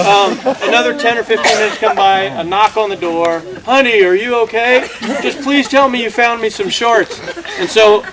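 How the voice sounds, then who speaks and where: A man's voice: sits high, moderate pace, low-quality recording. A man telling a comic story to a live audience, exaggerating and acting out the dialogue as he goes. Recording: loud audience laughter behind. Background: laughing crowd.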